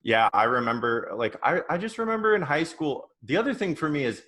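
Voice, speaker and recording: A man of thirty from Oregon, a podcast guest, chatting in a relaxed, rambling way with many fillers. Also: fairly high pitch, brisk. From Oregon, USA. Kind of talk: casual chat.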